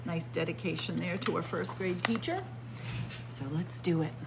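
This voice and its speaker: A woman's voice: lowish voice, moderate pace, creaky, heavy background noise. A middle-aged woman librarian reading a picture book aloud to children, word by word, with teacherly emphasis, recorded close on a webcam in a small library room. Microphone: webcam or phone close up at arm's length.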